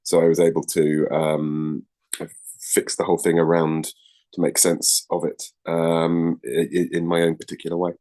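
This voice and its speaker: Male writer guest, very low pitch, casual interview chat, careful hedging delivery. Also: completely flat delivery, moderate pace, dark tone. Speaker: guest, writer and script editor.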